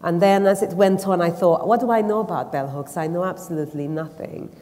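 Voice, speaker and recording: A woman's voice: lowish voice, fairly quick, mellow, slight background noise. A woman from Guyana speaking on a literature festival panel, reflecting on her own life with many ums and restarts, then reading aloud. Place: theatre stage before an audience. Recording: long reverb of a large hall.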